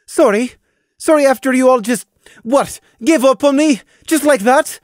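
A man voicing a bitter, hurt young mare who snaps and shouts in a high, shrill voice. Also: expressive, normal speed, dry recording, studio quality. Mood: furious.

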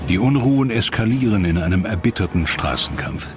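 A man narrating a scripted historical documentary in a measured, dramatic way. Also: on the low side, sing-song. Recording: dramatic music behind the voice. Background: music.

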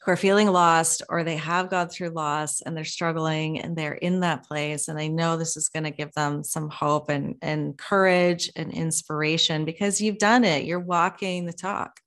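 A woman's voice: low-pitched, fairly even intonation, normal speed, few pauses, fairly bright tone. A woman in her forties hosting a podcast, encouraging and affirming her guest in casual chat, on a studio mic with a headset. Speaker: podcast host. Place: home studio.

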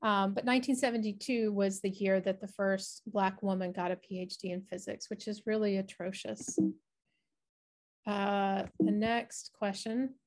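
A woman's voice: medium pitch, steady tone, measured pace, a little mellow. A middle-aged woman, a researcher explaining her work in an online presentation over a video call. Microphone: video call, webcam framing.

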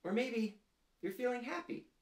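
A man in his thirties hosting a children's storytime, reading aloud and prompting listeners in a gentle, deliberate, clearly phrased way, seated in a small room. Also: very high-pitched, lively intonation. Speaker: storytime host reading picture books to children. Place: small furnished room indoors, seated on a couch. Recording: roomy indoor sound.

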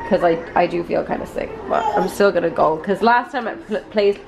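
A woman's voice: on the low side, brisk, gravelly. A young woman in her twenties chatting casually to a handheld camera, giggly and a bit congested from being sick. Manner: chatty, giggly, filming herself at arm's length. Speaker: vlogger. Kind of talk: casual vlog chat. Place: busy hotel restaurant at night. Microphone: handheld camera at arm's length. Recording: noisy crowded restaurant behind.

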